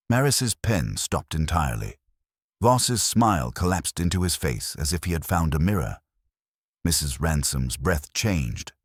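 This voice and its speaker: A man reading a first-person detective story as a scripted narration, measured and deliberate in short literary phrases. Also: a bass voice, no echo, clean studio sound. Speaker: audiobook narrator.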